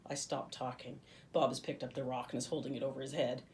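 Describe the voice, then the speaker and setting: A woman reading a children's story aloud as a teacher would, in an expressive narrating style. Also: very deep voice, quick pace, far from the mic.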